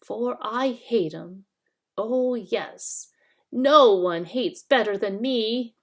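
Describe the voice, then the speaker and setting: A woman's voice: on the high side, very slow, voice pushed up, exaggerated voice. A woman voicing a fawning, spiteful old crone in a thin, whining, wheedling voice for a storybook reading. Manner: obsequious, wheedling.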